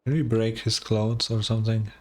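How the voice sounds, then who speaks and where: A man's voice: on the low side, little pitch movement, moderate pace, a little mellow. A man giving casual gameplay commentary as a streamer, with offhand muttered reactions and some swearing. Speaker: game streamer.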